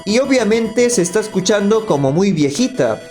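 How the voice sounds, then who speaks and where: A man's voice: high voice, expressive, normal speed, a little mellow. A man delivering scripted critical commentary in a voice-over. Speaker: video critic. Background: faint music.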